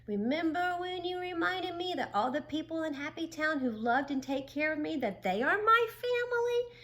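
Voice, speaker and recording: A woman voicing Frizzle, a curious, excitable young male creature in a children's storybook. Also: high-pitched, slow pace, full character voice. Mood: excited.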